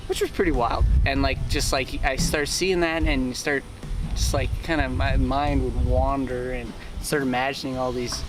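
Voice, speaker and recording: A young man casually recalling his experience, relaxed and full of "like" and "uh" fillers. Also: mid-range voice, moderate pace, background noise. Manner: relaxed, laid-back, many "like" and "uh" fillers. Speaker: ceremony participant. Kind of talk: casual recollection. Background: music.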